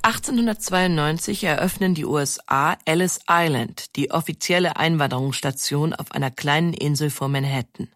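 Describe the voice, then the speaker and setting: A woman narrates a documentary in a very low, measured voice. She tells the story evenly, and the sound is dry and close to the mic. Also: a bit bright, dry recording.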